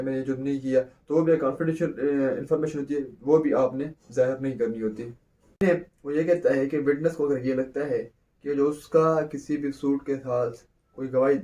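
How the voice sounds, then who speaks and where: A man's voice: medium pitch, mellow, far from the mic. A man teaching a law lecture, who repeats points and answers his own questions. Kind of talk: explanatory lecture, mixing in English terms.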